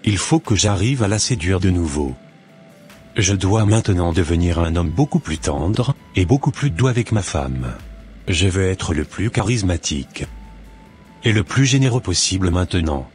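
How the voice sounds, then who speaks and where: A man playing a remorseful, lonely husband in a scripted cartoon drama, speaking in an earnest, deliberate way. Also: deep voice, lively intonation, darkish tone, soft background music. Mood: regretful, yearning. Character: remorseful, lonely husband swallowing his pride. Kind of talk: scripted animated drama monologue and dialogue.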